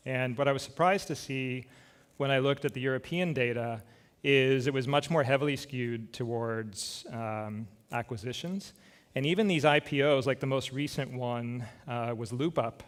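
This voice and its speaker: Casual man on stage. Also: mid-range voice. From Scotland. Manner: casual, self-deprecating, frequent filler words. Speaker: conference keynote speaker. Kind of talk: conference talk with slides. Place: conference stage. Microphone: stage microphone.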